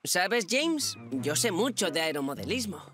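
A woman voicing a cheeky, pushy young boy in a children's cartoon dub, coaxing, boastful and excitable, with music behind. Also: on the low side, measured pace. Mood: friendly.

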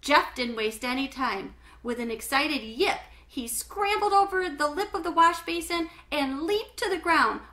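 A middle-aged woman reading a children's book aloud with animated, clearly phrased storytelling. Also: high-pitched, unhurried, hardly any pauses. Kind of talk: expressive children's book read-aloud narration. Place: small home living room, indoors.

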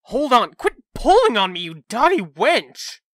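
A cocky, lazy young trickster soldier played by a man in a comic dub. He blusters in exasperation with wide swings of pitch, recorded dry. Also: wildly swooping pitch, a little slow, fairly bright tone, no echo, exaggerated voice. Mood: alarmed. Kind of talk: comic book fan dub.

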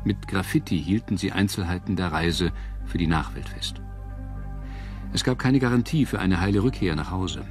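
Male narrator for history documentary, very low register, measured and dramatic, clear music bed. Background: prominent music.

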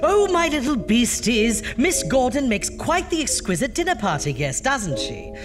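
An actress playing a wickedly gleeful, imperious horror hostess of a radio show, with arch, theatrical delivery. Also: female voice, a little high, big pitch swings, over music. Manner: theatrical, arch, drawn-out words.

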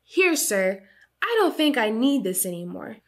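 A woman's voice: fairly high pitch, sing-song, a little slow. A woman voicing a polite, cheerful young male squirrel in a storybook read-aloud, with an earnest manner. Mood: happy.

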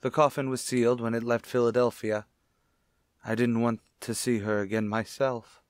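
A man's voice: medium pitch, little pitch movement, normal speed, clean tone, dry recording, clean studio sound, close to an everyday voice. Anguished, melodramatic widowed father played by a man. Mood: grieving, evasive. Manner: melodramatic, distraught. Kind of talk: old-time radio play acting.

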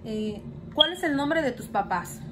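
A woman's voice: high voice, expressive, measured pace, noisy background. A young woman reading interview questions from her phone in a home vlog and giving brief prompts. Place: small indoor room, plain wall.

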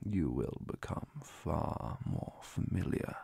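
A man playing a calm, courteous male vampire inquisitor in an ASMR roleplay, speaking softly and formally with whispers and a gravelly, breathy voice. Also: extremely low pitch, no room sound, heavily acted. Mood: formal, solemn. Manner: formal, measured, hushed. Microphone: close mic, dry.

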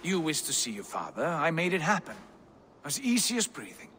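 A man's voice: a little high, huge pitch swings, fairly quick, bright, forceful tone, slight background noise, heavily acted. An actor playing a brash, swaggering Viking warrior in a video game, boisterous in battle and grave when he speaks of fate. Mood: solemn. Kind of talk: video game cutscene acting.

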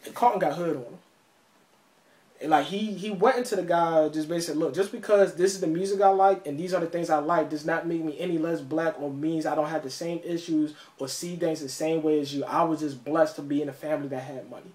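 A man's voice: fairly high pitch, steady tone, fairly quick, a little mellow. A man with a Baltimore accent, co-hosting a casual discussion, talking emphatically and acting out the people he quotes. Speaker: podcast co-host. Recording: echoey room.

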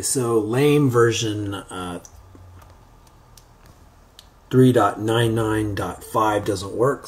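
A man giving an unscripted how-to software demonstration, thinking aloud in a halting way as he works. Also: mid-range voice. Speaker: tutorial presenter. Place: indoors, beside a computer. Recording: homemade recording with background noise.

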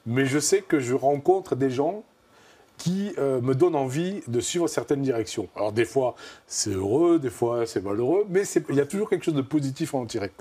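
A man's voice: medium pitch, animated, moderate pace, warm tone, husky. A man in his fifties with a southwestern French accent, a guest in a TV studio interview, talking thoughtfully with lots of fillers. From southern France. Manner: thoughtful, gestures while talking, many fillers. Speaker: comic artist guest. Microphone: lapel.

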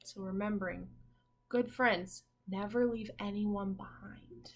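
A woman in her twenties, a teacher reading a picture book aloud and asking children simple questions with pauses for answers. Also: medium pitch, steady tone. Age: 20s. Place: small room at home, indoors.

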